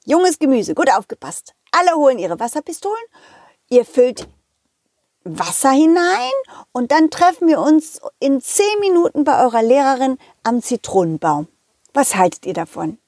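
A woman's voice: sits high, very animated, normal speed, darkish tone, dry recording, exaggerated voice. A woman voicing a confident, loyal male dog in a children's story, encouraging, eager and friendly. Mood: friendly, planning.

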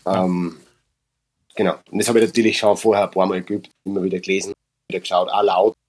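Husky Austrian man, low voice. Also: little pitch movement, fast, darkish tone, low-quality recording. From Upper Austria. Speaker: actor and guest reader. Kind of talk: casual podcast conversation.

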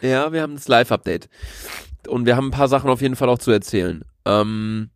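A man's voice: mid-range voice, fairly quick, clear, resonant tone, no room sound. A young man chats casually as a podcast co-host, his voice a little nasal from a slight cold. He sounds tired but teases in loose slang, recorded dry with hardly any room. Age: young adult. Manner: slangy, teasing. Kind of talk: casual bantering chat.

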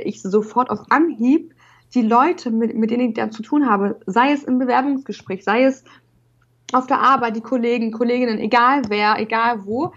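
A young woman with a fairly high voice, speaking casually as an interview guest. She stutters openly, repeating syllables and filling pauses with hesitations. The recording is dull and lo-fi. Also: fairly bright tone. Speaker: podcast guest. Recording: dull lo-fi sound.

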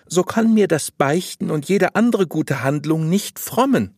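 A pious, naive young wife from an old tale, acted by a male storyteller. The voice is pitched up high and swings expressively. It pleads earnestly in formal, old-fashioned phrases. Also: expressive, unhurried, darkish tone, breathy edge, no echo, studio quality, heavily acted. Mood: plaintive, pleading. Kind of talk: audiobook storytelling.

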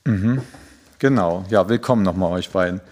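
Brief: male podcast host, lowish voice, hesitant, lots of "äh" between phrases. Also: normal speed, darkish tone. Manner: hesitant, many fillers while introducing guests. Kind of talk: podcast interview.

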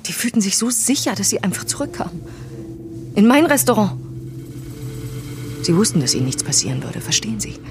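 Woman acting wronged defiant woman, medium pitch, intense, emphatic, audio drama, faint background noise. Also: sing-song, a little fast, long pauses, close to an everyday voice. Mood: bitter, hurt.

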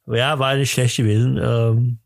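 Halting, rambling male podcast host, dry close sound. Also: medium pitch, flat delivery, vocal fry, dry recording. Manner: hesitant, rambling, halting delivery. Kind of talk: casual, joking chat.

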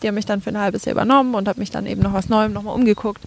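A woman's voice: mid-range voice, fairly even intonation, very fast, creaky. A young woman with a Franconian accent, a podcast guest chatting casually with many fillers and frequent laughs. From Franconia, Germany. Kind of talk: casual interview chat.

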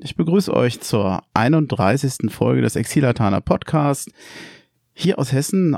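A man's voice: medium pitch, creaky, darkish tone, dry recording, studio quality. A man hosting a podcast and chatting casually with guests on a call, asking them friendly questions. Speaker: podcast host.

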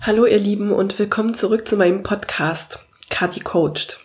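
A woman's voice: mid-range voice, moderate pace, a little mellow, faint music behind. A young German woman with a cold, hosting her own coaching podcast in an earnest, encouraging way with many filler sounds. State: has a cold. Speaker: podcast host and parenting coach. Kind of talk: casual spoken monologue.

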